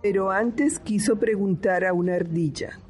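A woman narrating a children's story in an amateur radio play, in a slow, careful storybook manner. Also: medium pitch, a little mellow, faint music behind.

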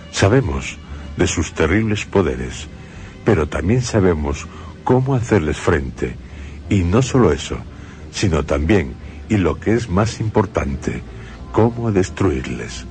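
Very deep, slow, grave radio host. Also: male voice, darkish tone. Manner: slow, grave, ominous storytelling. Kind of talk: scripted radio narration. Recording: music under the voice.

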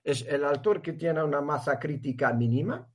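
A man's voice: fairly high pitch, normal speed, breathy edge. A Portuguese man, a guest academic, talking in a hesitant conversational way, often restarting and slipping into Portuguese words.

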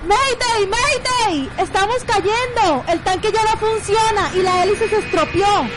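A woman's voice: very high-pitched, unhurried, low-quality recording. A woman acting a panicked helicopter pilot in an amateur radio drama.

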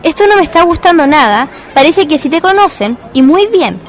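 A woman's voice: sits high, sing-song, a little fast. A woman playing a young man's new girlfriend who questions him warily in a radio drama. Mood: suspicious. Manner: questioning, wary. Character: Pedro's new girlfriend, suspicious then disgusted. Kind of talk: community radio drama acting. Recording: radio drama with faint music.

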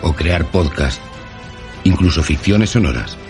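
A man's voice: deep voice, measured pace. A man playing a weary fictional soldier in an audio drama, over background music. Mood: solemn. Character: weary male fictional soldier character, pleading with his writer. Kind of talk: Spanish-language audio fiction acting.

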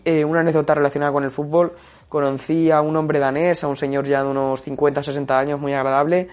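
Young man, football segment, steady delivery with fillers, monotone. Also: mid-range voice. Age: young adult. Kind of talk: casual radio-style football talk.

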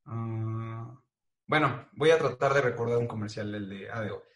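A man's voice: mid-range voice, moderate pace, roomy sound. A young man with a Mexican accent, a joking, chatty guest in a casual interview, talking about his animation and voice work. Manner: playful, joking, chatty with frequent fillers.

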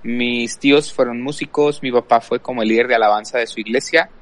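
A man's voice: mid-range voice, fairly even intonation, a little fast. A man from El Paso, Texas, talking casually about himself and often thinking aloud. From El Paso, Texas, USA. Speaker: podcast guest, worship leader. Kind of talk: casual personal storytelling.

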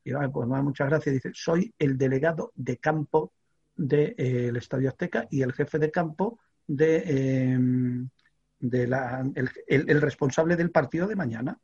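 Gravelly older Spanish man telling stories. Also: mid-range voice, unhurried, lo-fi sound. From Extremadura, Spain. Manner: animated anecdote with many fillers and restarts. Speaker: guest, veteran sports radio reporter. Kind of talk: storytelling in a studio chat.

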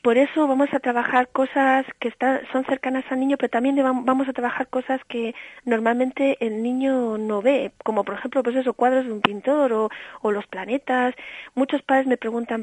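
A woman's voice: fairly high pitch, fairly even intonation, fairly quick, no echo, rough recording. A woman raised in Australia, director of an early stimulation center, explaining her methods in a radio interview with a patient, teacherly manner. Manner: explanatory, warm teacherly tone with diminutives.

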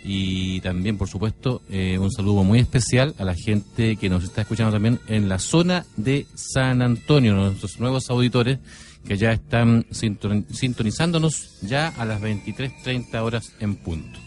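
A man's voice: lowish voice, moderate pace, a little mellow. A Chilean man co-hosting live radio, chatting in a courteous, formal way. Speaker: radio co-host. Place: radio studio. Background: background music.

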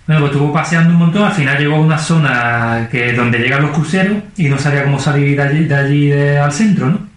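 A man's voice: a little high, quick pace. A man co-hosting a casual chat, retelling listener stories in an easygoing way. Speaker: podcast co-host. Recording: very echoey room.

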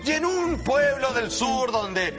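A man with a Chilean accent presenting and commenting excitedly as a TV show host, emphatic, dramatic and repetitive. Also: very high-pitched, slow. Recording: loud music bed under voice.

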